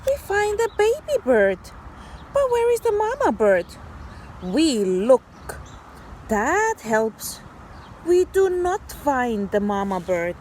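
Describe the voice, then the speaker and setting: A woman teacher reading a story aloud to young children in an animated, deliberate, child-directed way. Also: high-pitched, slight background noise. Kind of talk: expressive children's read-aloud.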